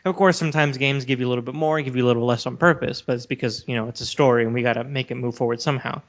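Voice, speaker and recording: A man's voice: medium pitch, steady tone, rapid-fire. Dull lo-fi recording of a man discussing. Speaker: podcast co-host. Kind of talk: casual discussion of video games.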